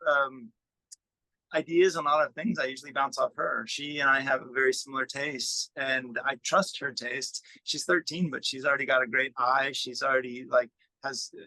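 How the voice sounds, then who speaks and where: A man's voice: mid-range voice, steady tone, moderate pace, pushed and bright, no echo, rough recording. A middle-aged man, a musician, chatting casually and informally in an interview in a self-effacing way. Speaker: musician and record producer being interviewed.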